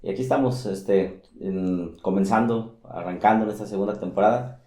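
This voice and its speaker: Faith podcast host, male; low and mellow, echoey room sound. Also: moderate pace. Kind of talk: podcast interview hosting.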